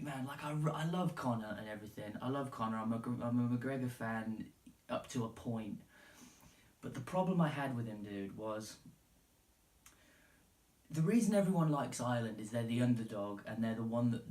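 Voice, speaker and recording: A man's voice: medium pitch, darkish tone. Sweary Englishman podcasting in an echoey room. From England. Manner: rambling, informal, sweary. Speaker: podcast host giving his opinion. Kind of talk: casual, unscripted solo podcast talk. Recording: roomy, echoey, distant from the mic.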